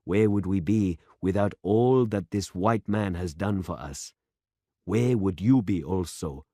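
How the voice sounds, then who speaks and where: A man reading aloud and voicing an elderly male village pastor who speaks slowly and solemnly. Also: sits low, mellow, dry recording, studio quality. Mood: earnest. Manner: slow and solemn.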